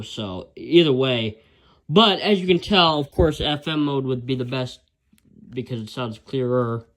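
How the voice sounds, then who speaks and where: A man casually reviewing a handheld radio, rambling with lots of fillers and restarts. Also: mid-range voice.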